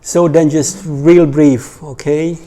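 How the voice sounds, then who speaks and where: A man in his forties with a Hungarian accent, talking informally to a small religious class in a hesitant, halting way with pauses. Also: fairly high pitch, fairly even intonation, mellow. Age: 40s. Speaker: religious teacher.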